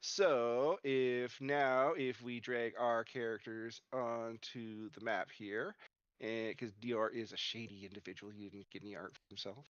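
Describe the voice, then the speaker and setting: A man in casual chat, setting up a virtual game map and narrating each step in a relaxed, drawn-out way. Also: medium pitch, no echo, dull audio. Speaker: tabletop game player handling the virtual map.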